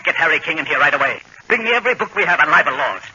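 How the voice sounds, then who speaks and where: An actor playing an outraged, blustering town boss in an old radio comedy. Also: male voice, a little high, animated, very fast, natural voice. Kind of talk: 1940s radio comedy acting. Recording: noisy, muffled old radio broadcast.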